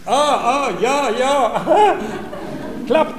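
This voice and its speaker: An actor in a comic radio play, playing a naive, vain gentleman pupil who is childishly delighted and exclaims and repeats himself. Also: very high voice, expressive, extremely slow, echoey room, exaggerated voice, poor audio. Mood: gleeful wonder. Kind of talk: theatrical classic-comedy radio acting.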